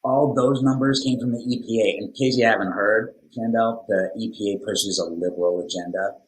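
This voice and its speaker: A man's voice: on the low side, steady tone, moderate pace, natural voice, low-quality recording. A man playing a skeptical, defiant teenage boy student in a classroom drama, talking in a dismissive, combative way.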